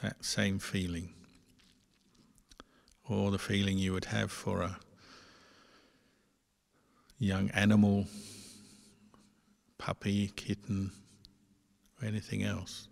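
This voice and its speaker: A man leading a guided meditation as a monk, speaking gently in short phrases with long, unhurried pauses. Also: fairly low pitch, flat delivery, vocal fry. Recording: long hall-like reverb.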